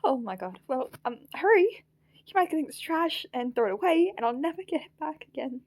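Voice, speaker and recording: A woman acting out a living puppet from a radio play, childlike and easily flustered. The voice sits high and pleads in nervous, stammering bursts. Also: lively intonation, fairly quick. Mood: panicked. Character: frantic, childlike living puppet clinging to its plush. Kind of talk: audio drama acting.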